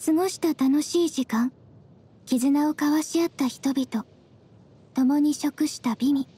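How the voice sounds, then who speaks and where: Casting: young traveler heroine, anime game, very high girlish pitch, soft-spoken, composed, gently teasing. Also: takes it slowly. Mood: gentle, reflective.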